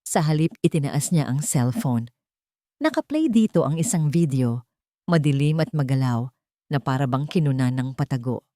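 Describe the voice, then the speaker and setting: A woman's voice: extremely low pitch, expressive, normal speed, mellow, dry recording, studio quality. A woman narrating a dramatic scripted story as a storyteller.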